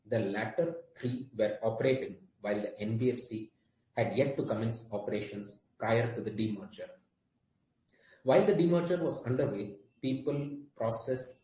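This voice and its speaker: A man's voice: mid-range voice, warm tone. A man with an Indian accent, a company executive giving a formal prepared presentation on an earnings call. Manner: formal, scripted delivery. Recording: roomy echo, faint background noise.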